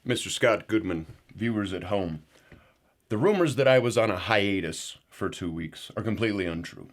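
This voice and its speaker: A man playing an excitable, paranoid male conspiracy pundit who speaks with indignant outrage. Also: moderate pace, lightly acted. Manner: indignant, excitable, outbursts of rising pitch. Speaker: show regular. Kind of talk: comedic parody show.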